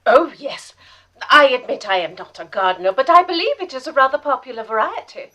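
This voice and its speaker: A woman playing an eager, devoted assistant in a period radio mystery, speaking in an earnest, proper and excitable way. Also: sits high, brisk, dull audio. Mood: eager, polite. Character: eager, devoted young female assistant telling her own tale. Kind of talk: dramatic first-person narration and period radio acting.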